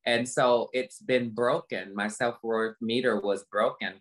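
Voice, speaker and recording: Low-voiced woman speaking emphatically. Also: fairly even intonation, unhurried, rough recording. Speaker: interview guest, motivational speaker. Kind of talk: reflective personal talk in an interview. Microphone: video call.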